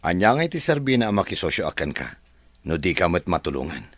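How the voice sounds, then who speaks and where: An actor with an Ilocano accent playing a gentle young man in love, soft-spoken and apologetic, in a radio drama. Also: low voice, big pitch swings, fast, dry recording.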